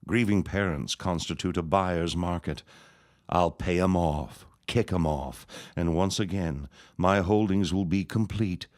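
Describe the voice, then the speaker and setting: An actor playing a scheming, vengeful elder reverend in a period radio drama, speaking in a pompous, florid, sneering manner. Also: male voice, low voice, no room sound, clean studio sound.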